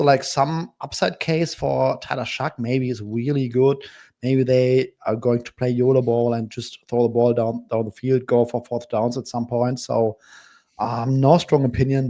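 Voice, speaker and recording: Mellow-voiced man giving betting picks. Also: medium pitch. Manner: measured, hesitant fillers. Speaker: betting analyst. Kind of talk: casual sports betting discussion.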